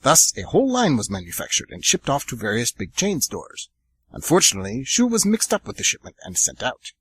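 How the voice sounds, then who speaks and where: Male narrator for an audio drama, animated delivery, a bit breathy. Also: mid-range voice, a little fast. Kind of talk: scripted storybook narration.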